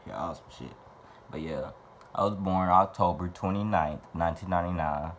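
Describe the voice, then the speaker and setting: Deep-voiced young man, loose and profane. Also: normal speed, a little hiss or hum. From Little Rock, Arkansas. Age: 20s. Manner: loose, profane, rambling and playful. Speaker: vlogger answering fan questions. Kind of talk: casual Q&A monologue to camera. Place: small bedroom indoors.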